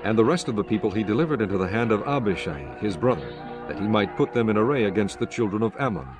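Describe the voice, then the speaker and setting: Male narrator, lowish voice, measured, solemn, scripture reading, music behind. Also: lively intonation.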